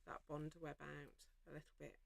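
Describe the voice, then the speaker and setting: A woman's voice: low-pitched, little pitch movement, a little fast, creaky. A woman hosting a live craft stitch-along, practical and reassuring as she thinks aloud while she works. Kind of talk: casual live craft demonstration.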